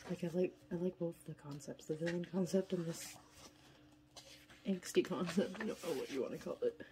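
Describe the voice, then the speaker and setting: Woman, nasal from a cold, lowish voice, rambling unboxing chat, noisy background. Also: a little fast, warm tone. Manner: chatty, rambling, tired. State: stuffy nose from a cold. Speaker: unboxing vlogger. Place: indoors at a table, filming hands from above.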